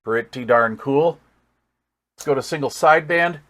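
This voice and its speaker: A man's voice: medium pitch, moderate pace, fairly bright tone. Casual man thinking aloud, folksy enthusiasm. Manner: thinks aloud while working. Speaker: radio reviewer and hobbyist. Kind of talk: casual hands-on product demonstration.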